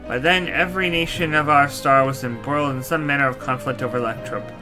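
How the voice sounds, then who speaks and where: A man's voice: medium pitch, steady tone, quick pace, natural voice. A man voicing a courtly old knight reborn as a machine, telling his tale in formal, archaic, solemn speech for a fantasy game. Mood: solemn, proud. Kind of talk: fantasy game character dialogue. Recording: music behind the voice. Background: game music.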